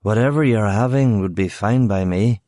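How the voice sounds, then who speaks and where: A man reading a story, voicing a drunk middle-aged former sailor with a Northern Irish accent and a slow drawl. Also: fairly low pitch, warm tone, no echo, clean studio sound, natural voice. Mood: drunken drawl.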